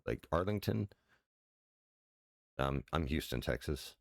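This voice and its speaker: A man in his forties, a streamer with a deep voice, chatting loosely at home with many fillers and restarts and casual swearing. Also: animated, slow, creaky, no echo, studio quality. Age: 40s. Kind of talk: casual rambling chat while sim racing. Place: his house.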